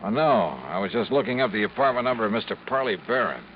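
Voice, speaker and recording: Guy doing a dry needling insurance investigator, lowish voice, hissy old broadcast. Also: animated, quick pace, muffled recording, lightly acted. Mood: brisk. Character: wry, dogged male insurance investigator. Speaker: narrator and lead. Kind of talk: 1950s radio drama acting.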